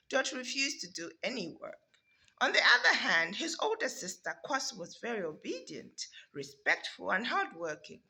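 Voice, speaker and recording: A woman from Zambia with a Zambian accent, reading a children's picture book aloud with lively emphasis and the odd hesitant filler. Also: on the high side, vocal fry. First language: Zambian language. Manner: animated, stretching words for emphasis, with hesitant fillers. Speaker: storybook reader.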